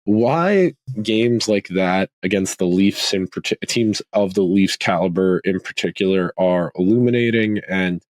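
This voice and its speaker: A man hosting a sports podcast, talking casually with a slightly raspy voice, thinking aloud with frequent restarts. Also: low-pitched, measured pace, hardly any pauses, no room sound. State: slightly raspy from a throat tickle. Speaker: podcast host.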